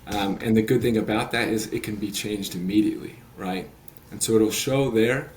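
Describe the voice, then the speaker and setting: A man's voice: fairly low pitch, moderate pace, darkish tone, a little hiss or hum. A man in his late thirties, a coach talking as a podcast guest, earnest and rambling with many fillers and restarts. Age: 30s. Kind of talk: podcast interview.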